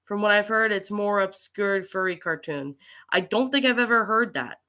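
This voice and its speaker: Bright-voiced woman streamer with a cold. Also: mid-range voice, normal speed. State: getting over a cold with allergies. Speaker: livestream host and creepypasta narrator. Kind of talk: casual livestream chat, reading and answering viewer comments.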